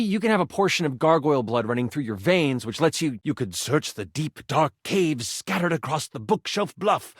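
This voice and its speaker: A man's voice: fairly high pitch, no echo, studio quality. A man voicing an eager, nerdy living twenty-sided die in a video game, a theatrical game chronicler with geeky, mock-archaic flourishes. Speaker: game master character in a video game. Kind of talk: animated video game voice acting.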